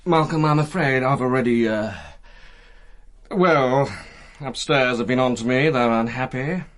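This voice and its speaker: An actor playing a senior British army colonel in a radio drama, courteous and dry, formal and tactfully disapproving. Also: male voice, mid-range voice, lightly acted. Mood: apologetic, firm. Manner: measured, formal, wryly euphemistic.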